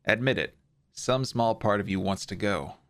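A man's voice: fairly low pitch, moderate pace, vocal fry, very noisy. A man playing a cocky, flirtatious doctor sidekick in a sci-fi audio drama, teasing and wisecracking.

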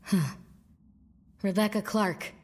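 A woman's voice: medium pitch, slow pace, darkish tone. An actress playing a tough, no-nonsense woman farmer in an audio drama, curt and commanding. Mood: suspicious.

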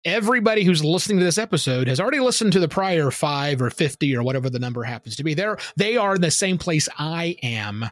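A man's voice: on the high side, animated, quick pace, hardly any pauses, no echo, clean studio sound. A man answering interview questions in an opinionated, emphatic way, explaining his points with examples. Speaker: podcasting guest expert.

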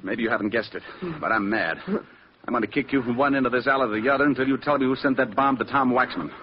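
An actor playing a wry, deadpan, tough American private detective and narrator in a 1940s-style radio drama. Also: male voice, medium pitch, fast talker, background noise, lightly acted. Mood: menacing. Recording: dull vintage radio recording, music underneath.

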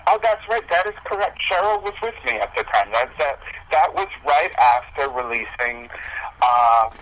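A man's voice: mid-range voice, lively intonation, moderate pace, fairly bright tone, lo-fi sound. A man speaking as a musician guest in a casual interview, hesitant and self-deprecating as he explains himself.

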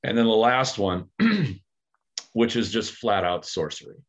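Man, very low voice, casual podcast talk, thoughtful and halting; dull lo-fi recording. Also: sing-song. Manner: thoughtful, hesitant, many fillers and restarts. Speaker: aikido practitioner, podcast co-host.

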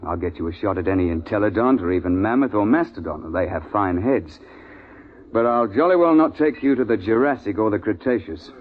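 A man playing a seasoned British safari guide in a vintage radio play, on a narrow-band recording. His voice is low, and he talks with blunt, old-boy assurance. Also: animated, a little fast, slight background noise, close to an everyday voice. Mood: firm, blunt. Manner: clipped old-boy idiom, blunt, conversational. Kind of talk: 1950s radio drama acting, storytelling.

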